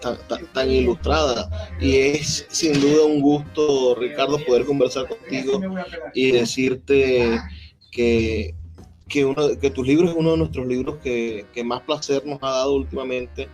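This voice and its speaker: A Venezuelan man hosts a live event, introducing a guest. He speaks haltingly, searching for words, in a mid-range voice with faint music in the recording. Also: mid-range voice, fairly even intonation, a little mellow. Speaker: host interviewing an author at a book presentation. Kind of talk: live spoken introduction to an audience. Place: live event at a book fair.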